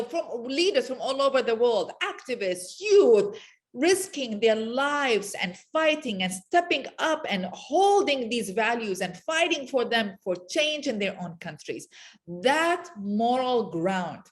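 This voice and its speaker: A woman from Iraq speaking as an interview guest in an emphatic, blunt, passionate way, repeating words for weight. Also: fairly high pitch. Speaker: interview guest, women's rights advocate.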